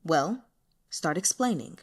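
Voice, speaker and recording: A woman voicing a calm, imperious male demon sect lord with a languid, condescending and formal manner in an audiobook. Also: sits low, takes it slowly, breathy edge, exaggerated voice. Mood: coolly imperious. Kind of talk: audiobook character voice.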